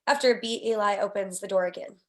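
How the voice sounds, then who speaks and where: A woman's voice: medium pitch, steady tone, fast. A woman narrating a screenplay's stage directions in a scripted read.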